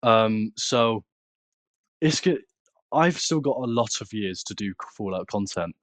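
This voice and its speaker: A nineteen-year-old man with a British accent, speaking casually and haltingly as an interview guest. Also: lowish voice, expressive, no echo. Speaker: podcast guest, content creator.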